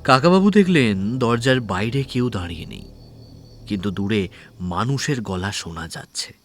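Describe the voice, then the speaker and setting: A man's voice: lowish voice, lively intonation, moderate pace, faint music behind. A man narrating a scripted audio story as its dramatic storyteller.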